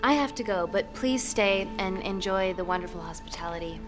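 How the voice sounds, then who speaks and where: An actress playing a composed, authoritative scientist in a live-action game drama. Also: female voice, medium pitch, fairly quick, over loud music, close to an everyday voice. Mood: brisk, urgent. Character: composed, authoritative female scientist presenting her research.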